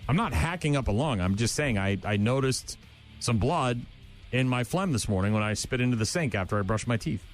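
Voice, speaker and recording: A middle-aged male morning radio host with a mid-range voice, rambling through stories in a blunt, wisecracking way. Faint music plays under him in the studio, and he gets animated when he's riled up. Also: medium pitch, fairly quick. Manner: blunt, joking, animated storyteller. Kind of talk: casual on-air banter.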